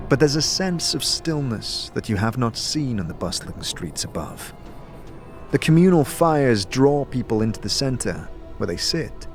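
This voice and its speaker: Casting: male storyteller for fantasy audio drama, medium pitch, measured scripted narration, prominent music bed. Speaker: narrator and game master.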